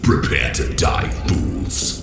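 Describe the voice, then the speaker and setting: An actor playing a pompous, menacing demon merchant in a fantasy video game, theatrical and sarcastic. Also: male voice, rumbling low, slow, gravelly, exaggerated voice. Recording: roomy, distant, music underneath.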